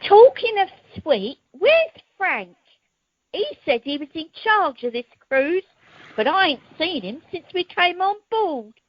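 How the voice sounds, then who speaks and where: Brash, cheeky wife acted by a woman, high and lively, flirty, amateur radio comedy. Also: unhurried, no room sound, exaggerated voice. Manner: cheeky, colloquial. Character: brash, flirty married woman with expensive tastes. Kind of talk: amateur radio play acting. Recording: dull telephone conference line.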